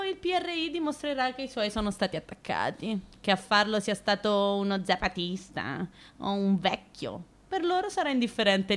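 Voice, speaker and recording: A woman acting a cynical, domineering political fixer in a radio play, with a deliberate, lecturing manner. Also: fairly high pitch.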